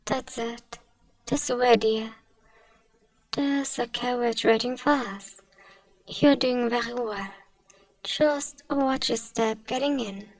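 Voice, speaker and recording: A woman acts out a doting vampire noblewoman in an ASMR roleplay. Her voice is on the high side with a touch of creak, recorded close and fairly dry of room. She speaks soothingly and politely, like a possessive but kind owner. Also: dry recording, recorded from a distance, rough recording. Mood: gentle, caring. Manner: soothing, genteel, addresses listener as dear. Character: gentle wealthy female vampire, doting, genteel, possessive owner. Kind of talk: ASMR roleplay, soft scripted acting.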